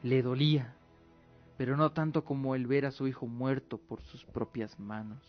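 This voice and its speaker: A man narrating a scripted radio drama in a solemn, theatrical way. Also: mid-range voice. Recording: muffled lo-fi audio, music behind. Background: music.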